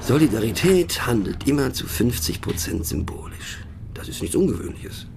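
A thoughtful, sardonic radio-play narrator with a gravelly, creaky voice of medium pitch. He muses aloud and puts rhetorical questions straight to the listener, slipping in conspiratorial asides. A faint noise sits behind the voice. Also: sing-song, normal speed. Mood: mock enthusiastic. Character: pensive, mocking male narrator musing on abstract words.

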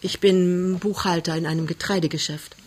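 A woman voicing a timid, polite young suitor in an audiobook, soft-spoken, hesitant and stammering. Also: mid-range voice, steady tone, moderate pace, close to an everyday voice. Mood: meek, polite.

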